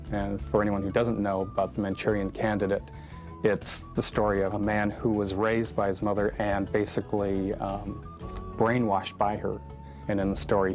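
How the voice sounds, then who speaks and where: Guy director in a making-of interview, lowish voice, pretty flat, music behind. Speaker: film director. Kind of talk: documentary interview, reflective explanation.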